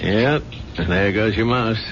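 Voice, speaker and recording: An actor playing an unflappable, gruff male chief with a dry, matter-of-fact manner in a radio drama. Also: fairly low pitch, unhurried, a bit bright. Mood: dry, unfazed. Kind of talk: 1970s radio drama acting. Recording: dull, noisy old radio recording.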